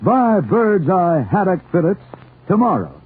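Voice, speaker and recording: A man with an American accent delivering a folksy 1940s radio commercial as a pitchman. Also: very animated, a little slow, husky. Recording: muffled, tinny vintage radio recording.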